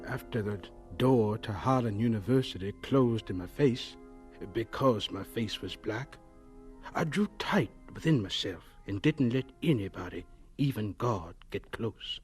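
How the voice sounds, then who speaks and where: Young dreamy homesteader, radio drama, earnest, folksy, animated intonation, faint music behind. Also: male voice, fairly low pitch, a little slow. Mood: somber, reflective. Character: earnest, dreamy young homesteader, later recalling his life.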